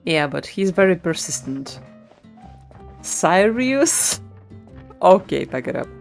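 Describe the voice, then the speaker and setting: A woman's voice: deep voice, moderate pace, vocal fry, a little mellow. A woman streamer giving casual live commentary on a video game, musing aloud. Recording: game music behind the voice. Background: video game music.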